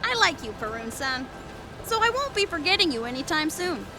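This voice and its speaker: A woman's voice: high-pitched, very animated, a little fast, music in the background, pitched above the performer's own voice. A woman voicing the god Zeus as a cocky, teasing male god in an audio drama. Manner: breezy and mocking.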